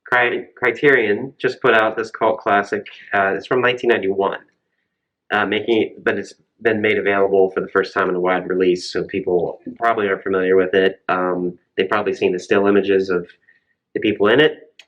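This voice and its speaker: Low-voiced man, slightly dull recording. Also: fairly even intonation, fairly quick, a bit bright. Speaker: co-host. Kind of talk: casual film recommendation chat.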